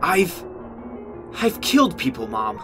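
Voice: mid-range voice, very animated, over music. A performer voicing a young male goat monster, earnest and haunted by guilt, in an amateur comic dub. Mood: ashamed, confessing. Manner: earnest, emotionally volatile, halting when ashamed.

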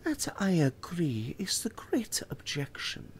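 A man voicing a calm, indifferent woman, the circus owner's wife, speaking in a hushed, half-whispered way. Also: fairly high pitch, slow, voice pushed up, heavily acted. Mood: cool.

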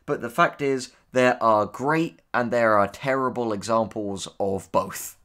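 A man co-hosting a casual commentary discussion, speaking in a measured, articulate and opinionated way. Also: medium pitch, a bit bright. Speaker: co-host, audio drama writer.

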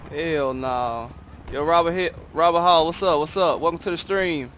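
A man's voice: fairly high pitch, moderate pace, a bit bright, slight background noise. A man chatting casually on a gameplay livestream in slangy, profane language. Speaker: game streamer. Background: game ambience, vehicle sounds.

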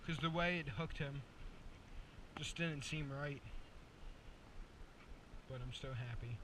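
A man's voice: medium pitch, slow pace. Young male fishing vlogger, jokey and chatty, action cam outdoors, audible wind noise. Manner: chatty, jokey, bursts into loud excited cheers. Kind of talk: casual outdoor fishing commentary. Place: outdoors at a pond. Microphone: head-mounted action camera. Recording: distant mic with outdoor noise.

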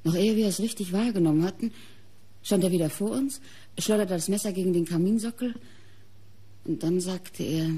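A mocking, embittered wife in a radio mystery. Her voice is breathy, dark and on the low side. She speaks theatrically, with measured, cutting phrasing. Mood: grave, defensive. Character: bitter, mocking wife of a painter. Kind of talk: German radio crime drama acting.

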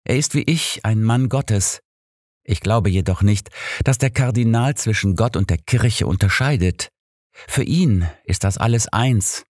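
A man voicing a devout, candid and measured male pastor in an audiobook. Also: lowish voice, a little mellow, no room sound, clean studio sound, pitched above the performer's own voice. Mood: earnest.

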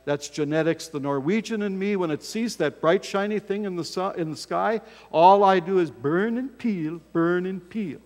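An older man preaching from a church pulpit, folksy and emphatic, poking fun at himself as he goes. His voice sits a little high for a man, and it rings out with a long hall reverb that fills the sanctuary. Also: normal speed, hardly any pauses, a little mellow. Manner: folksy, self-deprecating, emphatic. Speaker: pastor. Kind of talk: sermon. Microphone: fixed camera from across the church.

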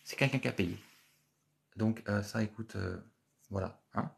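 Gravelly, low-voiced man chatting on a live stream. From France. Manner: chatty, repeats himself, many filler pauses. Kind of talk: casual live-stream chat, reading and answering viewer comments. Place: small office indoors. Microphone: phone camera at arm's length.

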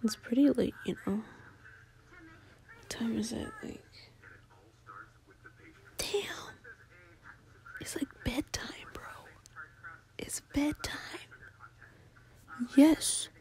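Sleepy, affectionate girlfriend for ASMR roleplay, played by a woman; highish voice, very unhurried, breathy murmur, gently teasing. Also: full character voice. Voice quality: breathy whisper and soft murmur. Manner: drowsy, playful, unhurried. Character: sleepy affectionate girlfriend cuddling while watching a baking show. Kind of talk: ASMR roleplay, intimate and casual.

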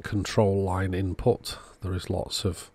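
A man's voice: sits low, flat delivery, takes it slowly, creaky, mellow. A man narrating a repair job in a casual, matter-of-fact, understated way. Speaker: host of electronics repair channel.

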